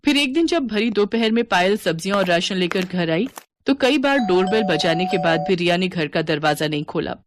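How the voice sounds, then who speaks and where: A woman's voice: on the low side, expressive, normal speed, background noise. A woman narrator reading a scripted domestic story.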